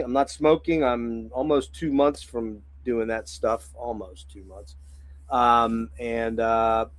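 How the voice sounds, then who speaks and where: A man hosting a casual livestream in a hoarse, phlegmy voice, rambling with many filler words and frequent throat clearing. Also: mid-range voice, dry recording. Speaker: livestream host.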